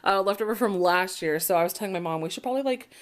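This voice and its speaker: A young woman in her twenties chatting casually on a home vlog, her voice slightly raspy from dust, with a chatty, upbeat manner. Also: mid-range voice, racing. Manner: chatty, upbeat, filler-heavy. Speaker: vlogger. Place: home, indoors. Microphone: camera propped up nearby.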